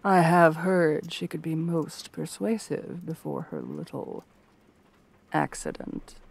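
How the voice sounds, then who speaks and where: A woman playing a stern, scheming royal guard captain in a fantasy roleplay, formal, measured and commanding. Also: low-pitched, creaky, slightly rough, heavily acted. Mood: suspicious, satisfied. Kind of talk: scripted fantasy ASMR roleplay.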